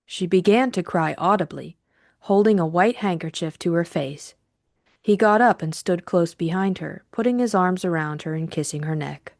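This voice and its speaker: A woman reading literary fiction as an audiobook narrator, measured and deliberate in her storytelling. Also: on the low side, gravelly, a little mellow, no room sound, studio quality.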